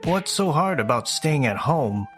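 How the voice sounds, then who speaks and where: Lively, swinging male delivery from an actor as a self-centred, dismissive young husband, comic-dub drama. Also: on the high side, measured pace, faint music behind. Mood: irritated, dismissive. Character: selfish, dismissive young husband who shirks chores. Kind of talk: scripted comic dub dialogue.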